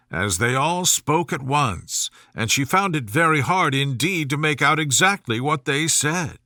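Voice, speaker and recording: A man narrates a bedtime storybook in a soothing reading style. His voice is a little high and bright, with lively intonation. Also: no echo, clean studio sound.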